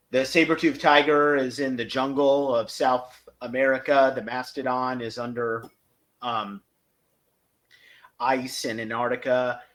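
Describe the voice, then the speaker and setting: A man co-hosting a podcast, recapping and reviewing episodes casually in a halting, piecemeal way. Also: mid-range voice, a bit bright. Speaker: podcast co-host.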